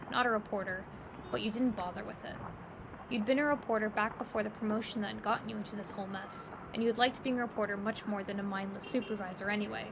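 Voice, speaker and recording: A woman's voice: medium pitch, gravelly. A woman narrating a scripted story in the second person as the narrator of an audio drama. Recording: loud steady background ambience noise. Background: ambient noise.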